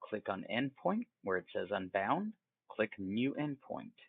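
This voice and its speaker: A phone-like, dry recording carries a man hosting a casual software tutorial. His lowish voice is thick with fry. He takes his time through each step, informal and folksy, as if thinking aloud. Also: very animated, a little slow, no echo. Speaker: tutorial host. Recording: tinny, phone-like narrow band.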